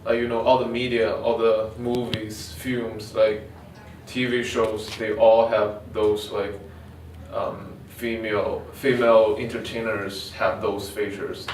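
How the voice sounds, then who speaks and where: A man's voice: fairly low pitch, level tone, takes it slowly. A young man, a student with a Chinese accent, giving casual interview answers. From Shandong, China. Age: young adult. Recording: echoey room, distant mic, faint noise.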